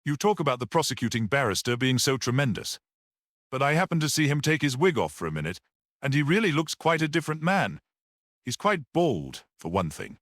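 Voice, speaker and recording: A man voicing a mild, absent-minded, good-humoured priest in a mystery audiobook, musing and gently explanatory. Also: medium pitch, big pitch swings, a little fast, no room sound, studio quality, pitched above the performer's own voice. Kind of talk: audiobook character reading.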